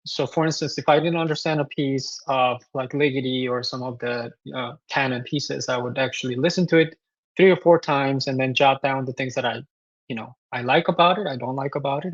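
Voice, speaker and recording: A composer from South Korea with a Korean accent, answering interview questions thoughtfully with many fillers and restarts. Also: male voice, medium pitch, dry recording, highs cut off. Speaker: guest composer.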